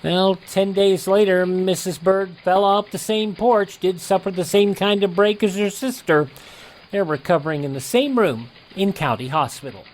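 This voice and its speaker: A man's voice: sits high, moderate pace, poor audio. A man with an American accent working as a radio host, reading scripted announcements in a presenter's style. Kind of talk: scripted narration of ads and old news headlines.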